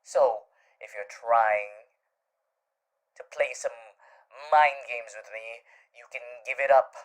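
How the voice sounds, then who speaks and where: A man's voice: medium pitch, slow pace, natural voice. A man voicing a suave, courtly radio demon who is teasing yet tenderly devoted, in an ASMR roleplay. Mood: smitten, knowing. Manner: courtly, theatrical, hushed turns into gleeful chuckles.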